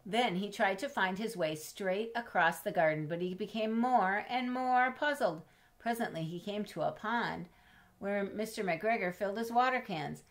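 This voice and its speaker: A middle-aged woman reading a children's storybook aloud in an expressive, measured way, as if to young listeners. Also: mid-range voice. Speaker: storybook reader. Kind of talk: children's read-aloud with chatty asides. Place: indoors in a home room with bookshelves.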